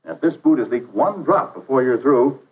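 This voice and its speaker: A man's voice: mid-range voice, lively intonation, brisk, lightly acted. An actor playing an earnest, polite, persuasive travelling salesman in a 1950s training film, with courteous, confident patter. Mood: confident persuasion. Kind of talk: 1950s instructional film acting. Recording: dull vintage 1950s film soundtrack.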